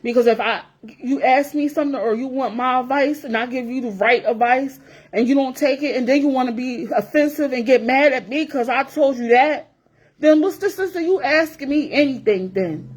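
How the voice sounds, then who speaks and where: Forty-something woman ranting on her vlog, high voice, blunt and cussing a lot. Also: moderate pace. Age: 40s. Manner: blunt, profane, repetitive, emphatic. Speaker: vlogger. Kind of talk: casual rant to camera.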